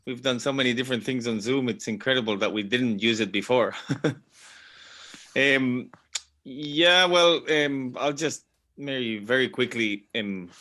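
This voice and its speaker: A middle-aged man from Venezuela, heard over a video call. His voice is bright and clear. He speaks thoughtfully and circles back with repeated words. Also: medium pitch, measured pace. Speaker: panel guest. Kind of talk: online panel talk.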